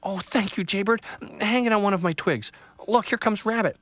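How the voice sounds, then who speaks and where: A man's voice: high voice, moderate pace, a bit bright, no echo, voice pushed up. A man voicing a timid young female sapling tree, anxious and hopeful, wondering aloud. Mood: worried.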